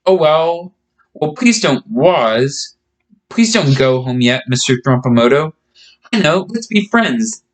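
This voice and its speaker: Casting: male reader as young storybook girl, friendly and chatty, pitch lifted, reassuring. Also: sing-song, slow. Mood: cheerful. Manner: chatty, explaining simply.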